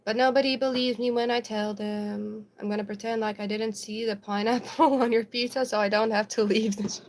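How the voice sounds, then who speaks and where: A woman's voice: a little high, fairly even intonation, moderate pace, a little hiss or hum. Giggly young woman with an American-sounding accent. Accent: American-sounding English. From South Africa. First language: Afrikaans. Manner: chatty and giggly, with many ums and likes. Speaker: livestreamer. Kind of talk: casual chat reading viewer comments.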